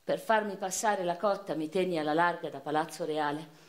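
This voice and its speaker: A woman playing a passionate eighteenth-century noblewoman poet in a theatrical first-person monologue, with deliberate, expressive phrasing. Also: lowish voice, lightly acted. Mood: hurt, disillusioned. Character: passionate 18th-century noblewoman poet recalling her life. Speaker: stage actress performing a radio theatre monologue. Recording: echoey room sound with music behind.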